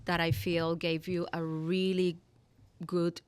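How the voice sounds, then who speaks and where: A woman's voice: on the low side, little pitch movement, slow, smooth, no echo. A woman hosting a live podcast, introducing her guest and asking about her story. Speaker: podcast host. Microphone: live event microphone.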